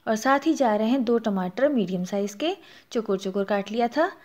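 A woman's voice: fairly high pitch, expressive, normal speed, darkish tone. A woman with a Pakistani Urdu accent hosting a cooking channel, narrating a recipe step by step and adding practical tips. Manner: explanatory, instructive, gives practical tips.

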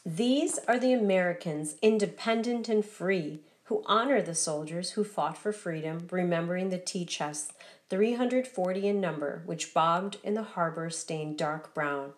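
A woman reading a children's story aloud as scripted narration, in a deliberate, rhythmic recitation. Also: lowish voice. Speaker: storybook reader.

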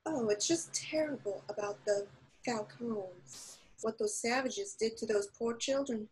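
A woman's voice: a little high, unhurried, darkish tone, slight background noise, close to an everyday voice, rough recording. Woman acting a fretful frontier shopkeeper. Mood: dismayed. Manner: fretful, hesitant. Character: gossipy, worried female frontier shopkeeper. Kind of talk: radio drama acting.

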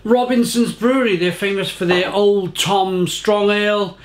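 A man's voice: high-pitched, fairly even intonation, a little slow, echoey room. A man, casually reviewing a beer at home and often repeating his words. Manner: chatty, repeats words, gestures with hands. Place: home kitchen, indoors. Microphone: fixed camera at desk.

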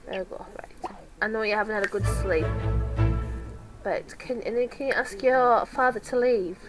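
A woman's voice: fairly high pitch, normal speed, music in the background, poor audio. A woman with a cold, casually commenting on her gameplay and talking to her characters. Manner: chatty, talks to her game characters. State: sick with a cold. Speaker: let's play host.

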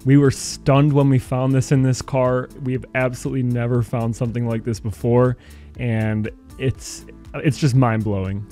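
A man's voice: mid-range voice, flat delivery, normal speed, music in the background. A man giving a casual voice-over as host of a car detailing video.

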